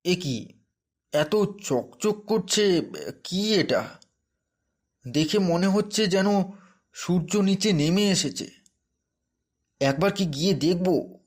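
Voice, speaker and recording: A man's voice: sits high, lively intonation, slow pace, long pauses, exaggerated voice. A man playing a poor, hardworking village woodcutter and weary husband in a fairy tale cartoon, speaking earnestly. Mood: wondering.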